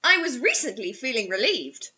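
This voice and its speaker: Woman, high bright voice, sarcastic comedic reader with mock-dramatic delivery, slightly muffled lo-fi sound. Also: sing-song, moderate pace. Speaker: host reading fan fiction aloud. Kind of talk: comedic read-aloud with mocking asides.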